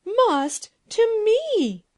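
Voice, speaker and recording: A woman playing a vain, haughty, quick-tempered princess in a dramatized storybook, imperious and dramatic. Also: high-pitched, very animated, a little mellow, no room sound, clean studio sound. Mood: furious.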